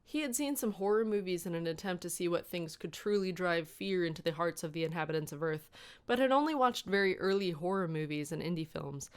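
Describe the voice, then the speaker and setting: A woman with a fairly low voice reads a scripted story aloud. She works as the narrator of comic fan fiction, delivering prepared prose. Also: animated, fairly quick, smooth.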